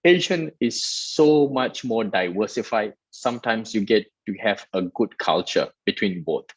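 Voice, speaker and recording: A man's voice: fairly low pitch, expressive, measured pace, slightly rough, no room sound, poor audio. A man from Malaysia, a guest in an interview, explaining his work at length in an earnest way with many restarts. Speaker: guest, startup festival director. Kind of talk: interview answers.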